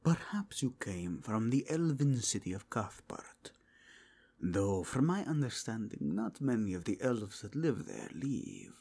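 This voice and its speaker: Husky, theatrical fantasy inquisitor. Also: male voice, fairly low pitch, sing-song, mellow, exaggerated voice. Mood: probing, courteous. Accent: mixed, wandering foreign accent. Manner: formal, deliberate, probing, with theatrical pauses. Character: courteous, inquisitive male cardinal of an inquisition, learned and self-assured. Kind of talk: fantasy audio roleplay acting.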